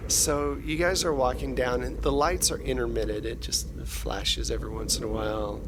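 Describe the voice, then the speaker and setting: A man's voice: mid-range voice, fairly even intonation, moderate pace, noisy background. A man casually narrating an improvised tabletop adventure as its game master.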